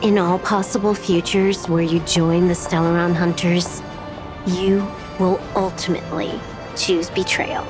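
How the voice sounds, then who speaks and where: Casting: actress as enigmatic female agent, anime-style game, slightly low voice, calm and formal, music clearly underneath. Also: measured pace, close to an everyday voice. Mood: cool, matter-of-fact. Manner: calm, matter-of-fact, formal. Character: cool, enigmatic female agent delivering fated prophecy.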